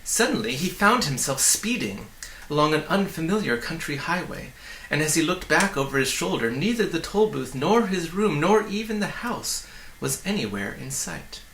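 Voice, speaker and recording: A man reading a children's story aloud in an expressive, deliberate way, with friendly asides to the listener. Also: on the high side, reverberant, a little hiss or hum, rough recording. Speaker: storybook reader.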